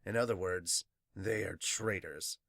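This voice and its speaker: A man's voice: sits low, a little slow, creaky, fairly bright tone, no room sound, studio quality, pitched below the performer's own voice, exaggerated voice. A man voicing a haughty government elder with a formal, grave delivery in an amateur manga dub. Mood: grave, ominous. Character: solemn, haughty government elder plotting to cleanse the world.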